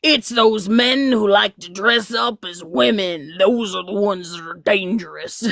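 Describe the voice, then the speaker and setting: A woman's voice: medium pitch, unhurried, creaky, fairly bright tone, no room sound, clean studio sound. A woman hosting a casual livestream, rambling, laughing a lot and reading out viewers' comments. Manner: giggly, rambling, reads chat aloud. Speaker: livestream host.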